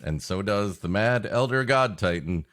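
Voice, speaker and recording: A man's voice: lowish voice, animated, measured pace, a bit bright. A man co-hosting a comedic livestream podcast in casual chat, with a wry, profane, mock-grandiose way of talking. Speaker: podcast co-host.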